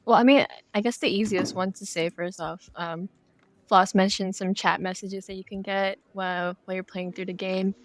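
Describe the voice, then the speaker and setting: A woman's voice: medium pitch, steady tone, normal speed, a little hiss or hum. A woman speaking as a guest game developer in a casual podcast interview, explaining things conversationally.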